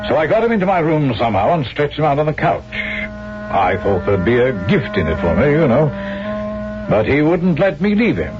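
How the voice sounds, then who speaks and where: An actor in a radio drama playing a sly, callous swindler who talks in a glib, chatty, falsely genial way. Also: male voice, a little high, very animated, moderate pace. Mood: callous, confiding. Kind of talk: 1970s radio drama acting. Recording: dull vintage radio recording, music behind.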